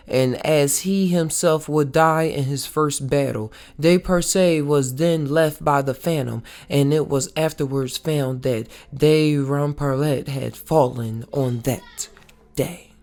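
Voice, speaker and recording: A woman reads a novel aloud for a book club. Her voice sits low and she takes the text slowly. The delivery is a little halting, as in an unpolished read-along. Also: darkish tone.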